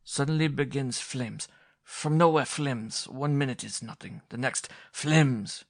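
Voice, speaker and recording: A man's voice: mid-range voice, measured pace, creaky, no room sound, clean studio sound, pitched above the performer's own voice. A man voicing a pompous, sulky film director who speaks broken English. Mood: defensive. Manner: broken English, self-pitying then haughty.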